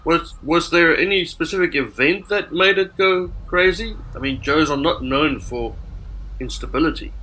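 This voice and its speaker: Guy player at a tabletop rpg, voice a bit high, casually asking stuff. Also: normal speed, sharp tone, rough recording. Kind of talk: casual in-game questioning.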